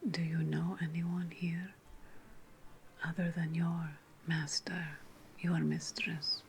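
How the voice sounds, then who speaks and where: Lady doing a sly roman witch hostess for asmr roleplay, seductive and condescending, super slow breathy whisper, bit of background noise. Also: fairly low pitch, level tone. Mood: sly, coaxing.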